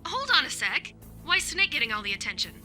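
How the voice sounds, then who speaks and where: A woman's voice: high voice, sing-song, quick pace, bright, forceful tone, soft background music, exaggerated voice. An actress playing a headstrong young female soldier in a radio drama, assertive and challenging. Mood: teasing.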